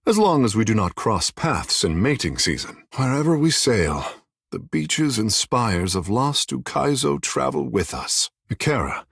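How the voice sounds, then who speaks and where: A man's voice: mid-range voice, very animated, measured pace, vocal fry, fairly bright tone, slightly rough, dry recording, studio quality, exaggerated voice. An actor playing a vain, theatrical, flirtatious godlike fish-man in a fantasy video game, speaking in lofty, formal phrases. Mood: playful, flirtatious, then pointed, proud. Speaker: player's companion character.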